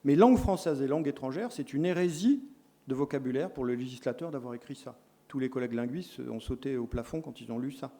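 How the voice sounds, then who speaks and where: A middle-aged man lecturing teacher tutors, explaining points with lively emphasis and quick self-corrections. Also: medium pitch. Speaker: lecturer in education sciences.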